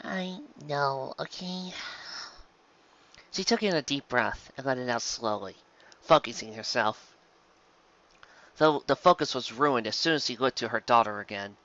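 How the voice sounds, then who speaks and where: A man's voice: mid-range voice, expressive, moderate pace, bright, forceful tone, lo-fi sound. A man reading a scripted story aloud as narrator. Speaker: narrator, reader.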